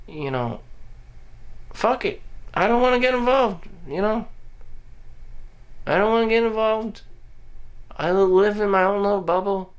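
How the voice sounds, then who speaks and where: A man's voice: high voice, unhurried, long pauses. A man talking bluntly in a rambling personal monologue for his vlog, full of "you know" fillers, restarts and casual swearing. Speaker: vlogger. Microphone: audio-only recording.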